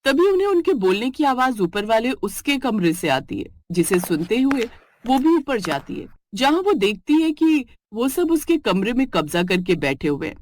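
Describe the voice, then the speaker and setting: A woman narrating an animated family story in a calm storybook manner. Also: a little high, normal speed, a little hiss or hum.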